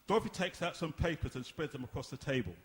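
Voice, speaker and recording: A man's voice: on the high side, expressive, fast. A man narrating scenes and stage directions from a script for a radio play.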